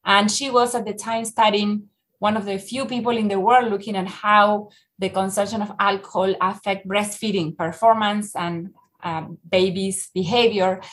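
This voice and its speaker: A middle-aged woman from Argentina with an Argentine Spanish accent, a researcher talking in a podcast interview, often restarting and filling with "uh". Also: medium pitch. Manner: halting, with many restarts and fillers. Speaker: researcher guest.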